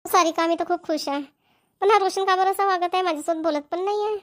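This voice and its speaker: A woman's voice: very high-pitched, fast talker. A woman voicing an anxious, lovestruck young bride-to-be in a cartoon comedy, wistful and musing. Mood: hopeful, puzzled. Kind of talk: amateur cartoon family comedy dialogue.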